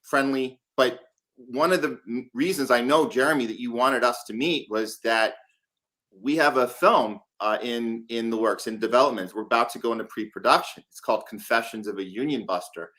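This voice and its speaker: A man's voice: medium pitch, moderate pace, bright, forceful tone. Male host, conversational interviewer, mid-range voice.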